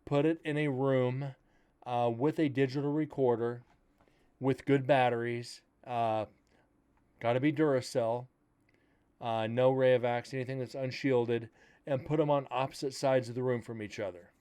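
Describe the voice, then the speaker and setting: A man hosting a paranormal show with a loud, carrying voice. He comments bluntly on old recordings in a casual, opinionated way. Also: mid-range voice, steady tone, a little slow, smooth. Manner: blunt and opinionated, explains gear plainly. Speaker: paranormal investigator host.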